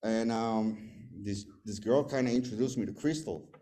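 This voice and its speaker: Nervous Salvadoran man, hesitant and mellow. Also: fairly low pitch, moderate pace. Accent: Salvadoran Spanish. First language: Spanish. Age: 40s. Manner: hesitant, many fillers and restarts. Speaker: recovery meeting speaker. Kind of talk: personal recovery testimony.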